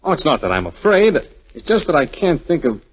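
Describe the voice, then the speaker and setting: An actor playing an earnest, hesitant young lieutenant in a 1940s radio drama. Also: male voice, a little high, big pitch swings, brisk, breathy edge. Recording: dull 1940s radio recording.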